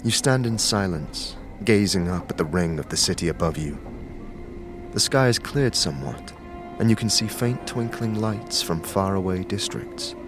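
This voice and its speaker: A man giving measured, scripted narration for a fantasy audio drama. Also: sits low, animated, over music.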